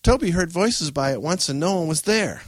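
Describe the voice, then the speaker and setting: A man's voice: mid-range voice, steady tone, normal speed, no room sound, clean studio sound, lightly acted. A man voicing a small twelve-year-old boy, a cheeky younger brother who blurts things out casually in an audiobook. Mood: blurting. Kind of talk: audiobook character voice.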